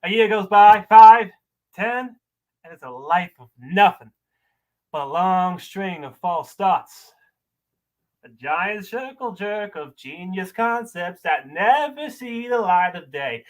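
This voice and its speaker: Bitter, scornful man played by a male actor, higher-voiced, berating someone emphatically in an audition monologue. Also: expressive, slow. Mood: bitter, exasperated.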